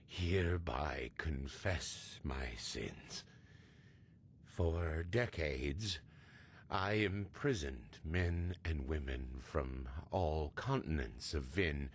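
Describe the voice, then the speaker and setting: An actor playing a doomed slave-trading captain in a video game, giving a confession in a grave, measured, ominous way. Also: male voice, extremely low pitch, gravelly, slight background noise, full character voice. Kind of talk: dramatic game voice-over of a confession.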